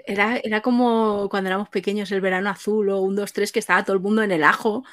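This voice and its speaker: Woman hosting, mid-pitched voice. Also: fairly even intonation, quick pace. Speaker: interviewer reading audience questions. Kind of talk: casual hosting, reading out questions.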